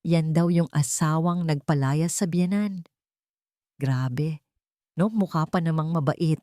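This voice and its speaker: A woman's voice: low voice, slow pace, warm tone, no room sound. A woman narrator plays a catty village gossip in an audio story. The figure is judgmental and scornful, heard close up with very little room sound. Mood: gossipy.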